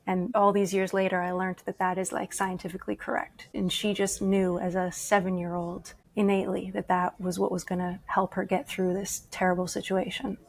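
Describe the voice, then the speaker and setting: A woman's voice: medium pitch, little pitch movement, normal speed, vocal fry, hardly any pauses. A woman being interviewed as a guest at a live conference, explaining things earnestly with many casual fillers. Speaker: guest, yoga teacher and activist. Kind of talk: conversational interview.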